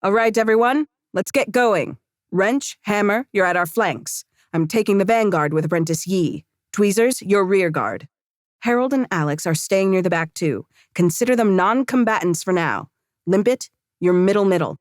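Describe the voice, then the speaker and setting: A woman's voice: mid-range voice, lively intonation, normal speed, dry recording, clean studio sound, close to an everyday voice. An actress playing a seasoned female leader of fantasy fighters in an audiobook, curt, commanding and matter-of-fact. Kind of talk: audiobook character acting.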